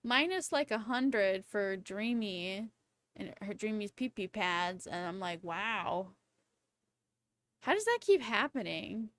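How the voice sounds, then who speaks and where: A woman in her twenties chatting casually on a livestream in a rambling, playful, excitable and slangy way. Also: mid-range voice, slow, dry recording. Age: 20s. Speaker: livestreamer, VTuber host.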